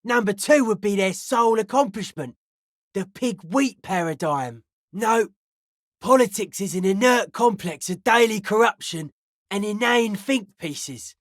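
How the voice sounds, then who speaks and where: A woman voicing a suspicious, aloof young hardcore raver in a video game, speaking in deadpan, clipped, cryptic pronouncements. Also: medium pitch, a little slow, no room sound, studio quality. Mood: deadpan, wary. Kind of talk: video game character dialogue.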